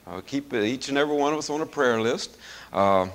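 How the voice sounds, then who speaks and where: A middle-aged man preaching in a folksy, chuckling manner, hoarse as he loses his voice, with plenty of fillers. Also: medium pitch, expressive, a little fast, fairly bright tone. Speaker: pastor. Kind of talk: sermon.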